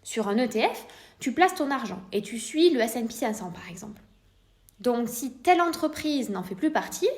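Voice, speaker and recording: A woman with a French accent hosting a podcast, explaining in a scripted, didactic way while addressing the listener informally with rhetorical questions. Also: a little high. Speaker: podcast host, private investor.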